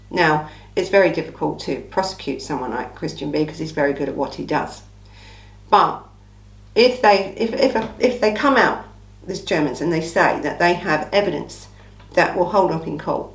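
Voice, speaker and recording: A woman from England hosting a casual true crime Q&A, her voice croaky from a cold, talking in a blunt, chatty, opinionated way. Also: deep voice, reverberant, recorded from a distance, rough recording. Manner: chatty, blunt, opinionated, says "listen" often. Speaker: true crime channel host. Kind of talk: casual Q&A monologue.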